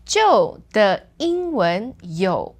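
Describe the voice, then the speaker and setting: Woman teaching chinese vocab, really lively up-and-down voice, slows way down for example sentences. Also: mid-range voice. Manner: lively, switches into slow careful Mandarin examples. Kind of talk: language lesson chat.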